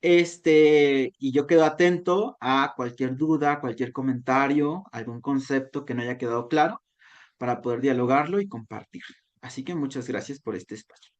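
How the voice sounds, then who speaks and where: A man's voice: medium pitch, moderate pace, lo-fi sound. A man from Mexico with a Mexican accent and Nahuatl as his first language, giving a reflective online lecture. He keeps tagging his sentences with "¿no?". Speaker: volunteer talk facilitator.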